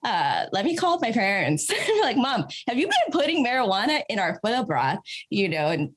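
A woman guest telling stories in a chatty, animated way, laughing often. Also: on the high side, normal speed, slightly rough. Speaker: guest, cannabis advocate. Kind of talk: chatty interview storytelling.

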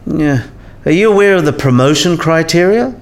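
A man's voice: on the high side, expressive, normal speed, natural voice. Man acting a world-weary, blunt professor. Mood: disillusioned, blunt. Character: disillusioned, blunt, easygoing senior male professor. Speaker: actor in a radio play. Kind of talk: radio play dialogue.

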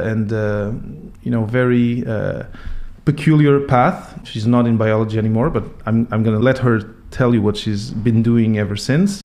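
A man's voice: mid-range voice, darkish tone, no room sound. A man hosting a podcast, reading a scripted intro and then chatting with his guest, stumbling a little when he speaks off the cuff. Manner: upbeat, stumbles when ad-libbing. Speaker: podcast host. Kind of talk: scripted intro and casual interview.

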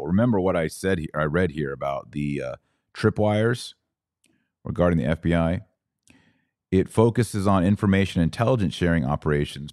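A man's voice: low-pitched, darkish tone, dry recording, studio quality. A man hosting a live podcast, reading and answering viewer comments in emphatic, punchy bursts of short phrases. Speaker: podcast host.

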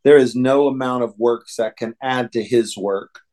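An American man co-hosting a religious podcast, teaching in a deliberate, halting way. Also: mid-range voice, a little mellow.

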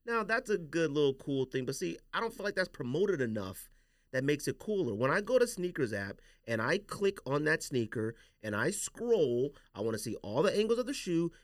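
Animated man talking slangy, close dry sound. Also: fairly high pitch, moderate pace, no room sound. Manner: slangy, opinionated. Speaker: podcast host. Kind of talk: casual sneaker podcast chat.

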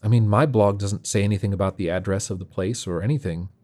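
A man voicing an anxious, excitable young man who blurts incredulous questions and stammers. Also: on the low side, fast talker, lightly acted. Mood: worried questioning.

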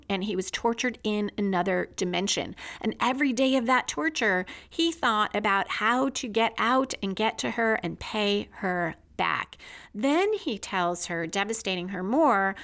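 Woman podcaster giving a measured story recap. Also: mid-range voice, a bit bright. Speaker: podcast host. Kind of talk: scripted episode recap and story analysis.

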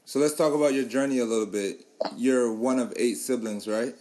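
A man's voice: mid-range voice, fairly quick. Male interviewer, mid-range voice, easygoing and encouraging. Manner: relaxed, encouraging prompts. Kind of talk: casual interview.

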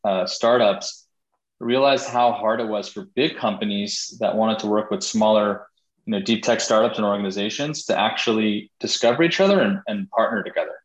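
A man's voice: lowish voice, normal speed, reverberant, lo-fi sound. A man, a startup founder, answering interview questions over a video call in a thoughtful way with many fillers.

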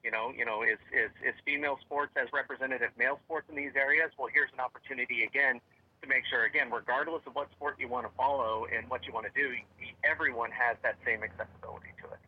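A man's voice: mid-range voice, steady tone, fast talker. Male interview guest, rambling delivery full of fillers, lo-fi audio. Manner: rambling, many fillers and restarts. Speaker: tech company guest. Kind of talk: interview answers.

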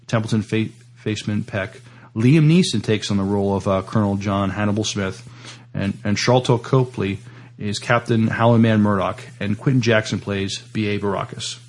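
An American man co-hosting a casual entertainment news chat, with a halting delivery and frequent repeated words. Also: lowish voice. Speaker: podcast co-host.

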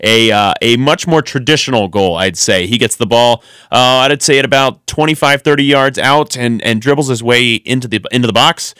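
A man's voice: mid-range voice, normal speed, hardly any pauses, sharp tone, smooth, no echo. A man co-hosting a sports podcast in a radio studio, chatting casually and rambling through lots of ums and restarts. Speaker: podcast co-host.

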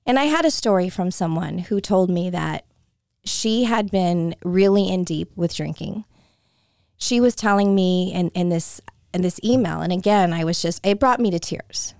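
A woman hosting a solo podcast in a chatty, conversational way, her voice hoarse and coming and going. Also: on the low side, moderate pace, darkish tone, no echo, clean studio sound. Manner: chatty and conversational, with frequent restarts. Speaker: podcast host. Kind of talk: casual solo podcast talk.

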